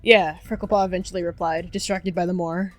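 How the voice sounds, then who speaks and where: A woman's voice: lowish voice, level tone, quick pace, a little mellow, dry recording, soft background music. A woman narrating a character's actions in a storylike roleplay. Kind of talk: scripted-sounding roleplay narration.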